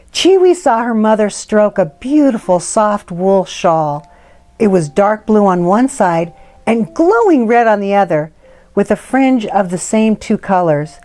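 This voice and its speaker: A woman narrates a children's story from a script. Her voice sits at a middling pitch, with faint music playing behind it. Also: darkish tone.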